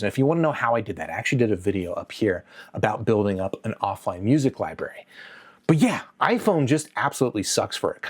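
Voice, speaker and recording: A man presenting a casual video review as host, speaking in a conversational, opinionated and lightly joking way. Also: mid-range voice. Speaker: host of an audio gear review channel.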